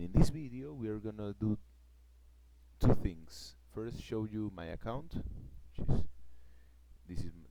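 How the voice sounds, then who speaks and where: A man from Peru with a Peruvian Spanish accent, a native Spanish speaker explaining his trading plan in a casual vlog. He talks haltingly, searching for words, with many fillers and restarts. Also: low-pitched. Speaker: forex trader vlogger. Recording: loud background noise.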